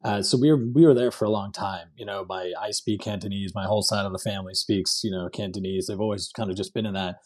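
A man's voice: fairly low pitch, fairly even intonation, fast, few pauses, darkish tone. A man from Hong Kong speaking as an interview guest, telling stories in a casual, conversational way. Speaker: podcast guest, entrepreneur.

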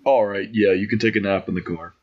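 A man's voice: fairly low pitch, fairly even intonation, fast, dull audio. A man playing a tabletop game, chatting casually as he describes what his character does.

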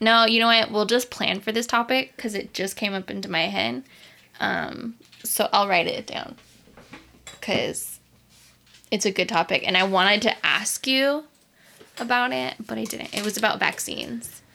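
A woman co-hosting a chat podcast, teasing and joking in quick banter. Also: mid-range voice, gravelly, bright, forceful tone. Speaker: podcast co-host, groomer. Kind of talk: casual chat.

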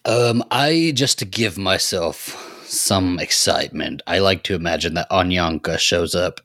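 A man's voice: sits low, a little slow, fairly bright tone, no echo, studio quality. A man chatting casually and playfully about pop culture as a podcast panelist.

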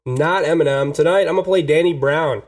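A man's voice: on the high side, fairly quick. A man co-hosting a podcast, chatting casually, laughing often and swearing freely. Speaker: podcast co-host. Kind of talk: casual radio-style chat.

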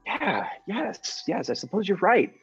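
An actor playing a timid, henpecked little husband in an old-time radio comedy, stammering and fussily polite. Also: male voice, sits high, big pitch swings, measured pace, slightly rough, exaggerated voice. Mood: flustered, apologetic. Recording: dull lo-fi audio with music behind.

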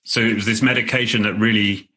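A man's voice: lowish voice, fairly even intonation, quick pace, sharp tone. A man interviewing someone conversationally, tentative and often rephrasing his questions midway.

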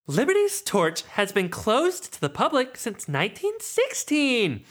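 A man co-hosting a comedy podcast, reading an adventure book aloud in a dry, deadpan way and riffing on it. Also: very high voice, unhurried.

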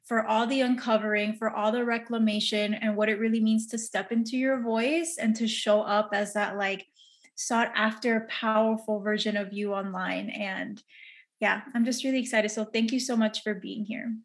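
A woman hosting a podcast interview, speaking with gushing enthusiasm and warm praise for her guest. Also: on the high side, fairly even intonation, normal speed. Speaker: podcast host and coach.

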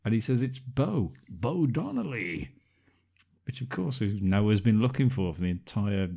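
Deep-voiced man, wry film recap. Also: very animated, a little slow, vocal fry, mellow, husky. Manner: wry, recaps plot with sarcastic asides. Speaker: podcast co-host. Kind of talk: casual film review chat.

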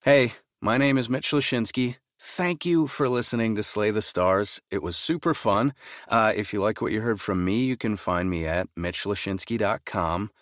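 A man's voice: lowish voice, moderate pace, a bit bright, no room sound, studio quality. A man, a guest voice actor, giving a casual, informal podcast sign-off.

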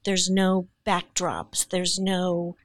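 A woman with a somewhat low voice, speaking with animated, emphatic earnestness. The sound is dry and close to the mic. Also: extremely slow, no echo. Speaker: actress. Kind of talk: podcast interview.

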